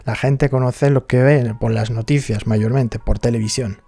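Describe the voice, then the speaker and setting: A man's voice: medium pitch, darkish tone. Moroccan-accented man, casual explainer. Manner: conversational, many fillers like "digamos" and "¿no?". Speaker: vlogger.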